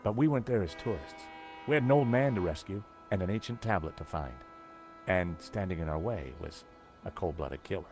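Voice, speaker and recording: An intrepid American adventurer from a point-and-click game. He speaks with a calm, wry ease and an animated, lively pitch, with game music faint underneath. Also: fairly quick. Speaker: game protagonist and narrator. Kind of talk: video game voice acting.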